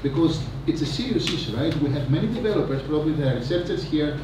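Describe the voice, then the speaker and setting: A man giving a rambling, energetic conference talk on stage, full of fillers and asides. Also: fairly high pitch, fast talker. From Greece. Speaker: conference speaker. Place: large conference hall. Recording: echoey hall, mic from a distance, noisy.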